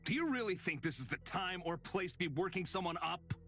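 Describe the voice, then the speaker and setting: A man's voice: high-pitched, expressive, brisk, a bit bright, soft background music. A man playing a cocky, jokey leader of a travelling band in a visual novel, brash and sardonic. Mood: stern.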